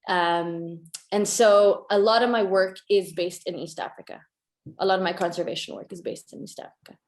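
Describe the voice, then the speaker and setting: A woman's voice: medium pitch, fairly even intonation, moderate pace, roomy sound. A woman from Tanzania in her thirties, a conservation photographer, telling her story in a chatty way with many restarts. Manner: chatty, restarts often, laughs. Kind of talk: casual interview answers.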